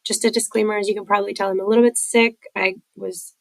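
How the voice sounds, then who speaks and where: A woman's voice: mid-range voice, level tone, quick pace, vocal fry, no room sound. A woman hosting a personal recovery podcast, congested from a head cold, talking candidly with many fillers. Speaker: podcast host.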